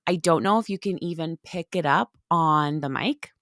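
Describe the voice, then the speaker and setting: Hoarse lady cohost, voice going, lowish, chatty and teasing, dry sound. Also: normal speed, fairly bright tone, dry recording. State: hoarse, losing her voice. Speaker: podcast co-host. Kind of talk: casual chat between sisters.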